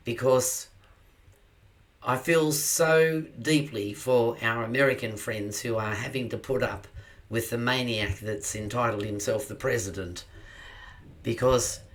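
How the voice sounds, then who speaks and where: A man with a croaky voice from a cold, hosting his poetry channel and reciting his own rhyming verse in a measured spoken-word delivery. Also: medium pitch, fairly bright tone. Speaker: poet and channel host.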